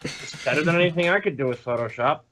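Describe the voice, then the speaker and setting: A man with a voice a little on the high side, chatting casually as a podcast co-host. He drops in dry, quippy asides. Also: animated, fast talker, a bit bright. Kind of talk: casual group chat.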